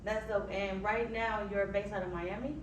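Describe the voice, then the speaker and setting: A woman's voice: medium pitch, fairly even intonation, normal speed. A young woman hosting an interview, reacting with short upbeat slang and asking questions casually. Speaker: interview host. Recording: echoey room, far from the mic, noisy.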